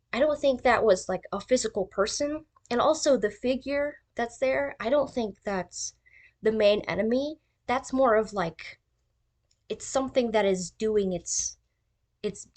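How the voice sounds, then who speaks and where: A woman's voice: on the high side, a little slow, a little mellow. A woman giving casual theory commentary as a video essayist, thinking aloud in an informal, tentative way.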